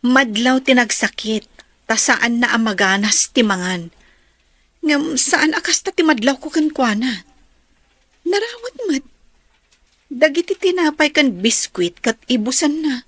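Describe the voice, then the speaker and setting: Casting: actress as concerned young woman for radio-style drama, very high voice, dry close sound. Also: lively intonation, a little slow, pauses often, fairly bright tone, dry recording, heavily acted. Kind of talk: Ilocano audio drama acting.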